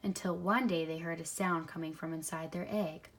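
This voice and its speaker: A woman reads a picture book aloud for young children, expressively, pausing to add simple comments of her own. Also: sits low, steady tone. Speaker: storybook reader.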